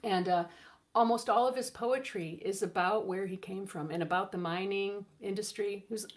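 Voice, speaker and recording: A woman co-hosting a music show, chatting casually between songs in a soft, rambling way with plenty of fillers. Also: medium pitch, normal speed.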